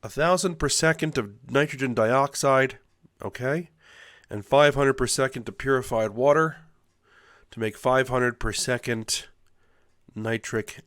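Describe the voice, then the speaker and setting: A man's voice: mid-range voice, measured pace, fairly bright tone, no echo, studio quality. A man with a stuffy nose from a cold, casually thinking aloud as he plays and builds in a game. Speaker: let's play host. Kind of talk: casual gameplay commentary.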